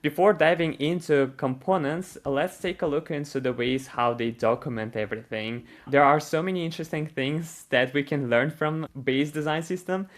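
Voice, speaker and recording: A man hosting an online design panel, upbeat and quick to praise each speaker. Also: a little high. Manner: upbeat, repeats short praise. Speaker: host.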